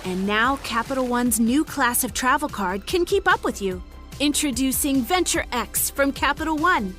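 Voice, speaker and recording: Female ad announcer, pretty high voice, scripted read w music under it. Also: expressive, normal speed, a bit bright. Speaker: advertising announcer. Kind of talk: scripted commercial narration.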